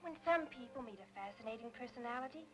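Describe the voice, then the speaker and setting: An actress playing an earnest, naive and presumptuous younger sister in a 1940s film noir, insistent in manner. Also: female voice, fairly high pitch, expressive, fast, natural voice, rough recording. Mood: earnest, coaxing.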